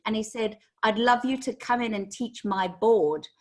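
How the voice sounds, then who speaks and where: A woman in her forties from Zimbabwe, a corporate trainer telling her story in an interview with lively acted-out quotes. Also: on the high side, moderate pace.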